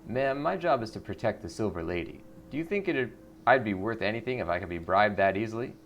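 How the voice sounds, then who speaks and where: A man performs a gruff bodyguard from a video game who won't be bought. The voice is low and creaky, the manner curt and dismissive, over faint noise. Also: lively intonation, brisk, muffled recording. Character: curt, incorruptible bodyguard of a pop star.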